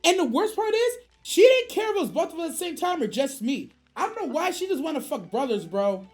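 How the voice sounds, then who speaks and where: An American woman telling a story casually on a livestream, animated, profane and slangy, acting out the dialogue she quotes. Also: sits high, a little fast. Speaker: streamer. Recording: music behind the voice. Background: music.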